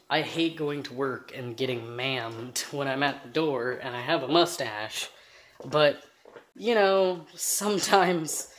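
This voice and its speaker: A man with a high voice giving an earnest, rambling personal monologue to camera, trailing off into fillers as he thinks aloud. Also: slight background noise. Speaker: vlogger.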